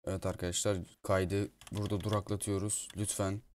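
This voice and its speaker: A man's voice: deep voice, level tone, fairly quick. A man improvising comic game commentary as a roleplay video host, swearing freely. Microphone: gaming mic at a computer. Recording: constant noise with keyboard and mouse clicks.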